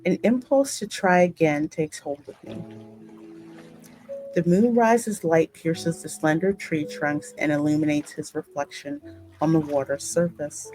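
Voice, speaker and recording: Female gaming host, lower register, unhurried, expressive story narration, soft music behind. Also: poor audio. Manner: expressive, dramatic read-aloud with casual exclamations. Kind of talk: narrating and reacting to a game story. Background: game music.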